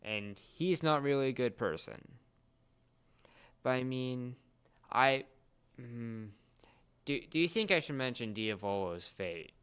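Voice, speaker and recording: Clear-voiced man chatting and explaining, halting delivery. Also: mid-range voice, animated, a bit bright. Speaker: podcast co-host explaining a series. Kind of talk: casual chat, explainer.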